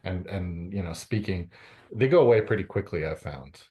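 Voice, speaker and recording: Man, low voice, middle-aged, hesitant, lots of ums and restarts. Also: animated, normal speed, highs cut off. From Canada. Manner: hesitant, many fillers and restarts. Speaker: guest author. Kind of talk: conversational interview answers.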